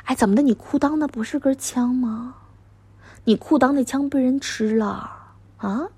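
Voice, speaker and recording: A woman playing a furious middle-aged wife and mother with a Northeastern Chinese accent and a husky voice, berating her husband in coarse, sarcastic language in a dramatic monologue. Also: high-pitched, normal speed, warm tone, no echo. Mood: outraged, incredulous. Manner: scolding, sarcastic, coarse swearing. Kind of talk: dramatic one-sided argument monologue.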